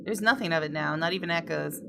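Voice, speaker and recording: A woman's voice: deep voice, fast talker, gravelly, fairly bright tone, a little hiss or hum. A woman game streamer reading visual novel text aloud, dramatically and with playful outbursts. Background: game music with guitar.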